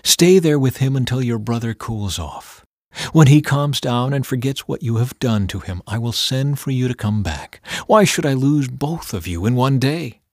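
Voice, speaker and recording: A man's voice: medium pitch, lively intonation, moderate pace, a little mellow, no room sound, clean studio sound. A man voicing a protective, scheming mother in a Bible audiobook, urgent and emphatic. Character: protective, scheming, exasperated middle-aged mother.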